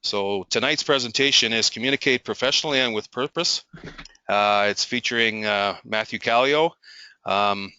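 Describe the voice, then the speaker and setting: A man hosting a referee talk, introducing the guest in a halting way full of ums and uhs. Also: mid-range voice, bright, forceful tone, clear, resonant tone, highs cut off. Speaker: host introducing the speaker. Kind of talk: formal introduction.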